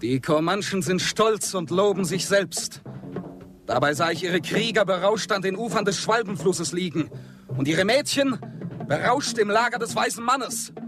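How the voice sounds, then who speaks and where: An actor playing a proud, defiant young Comanche, the chief's child, making impassioned declamatory accusations in a radio drama. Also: male voice, sits high. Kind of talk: German radio drama acting. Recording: studio radio drama over loud timpani music.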